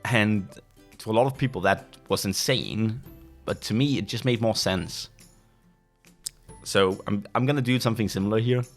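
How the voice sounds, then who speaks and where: Young danish guy, lowish voice, keeps pausing to find words, quiet music behind. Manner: pauses often to search for words, many restarts. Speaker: podcast host. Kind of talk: casual solo monologue. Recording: faint background music.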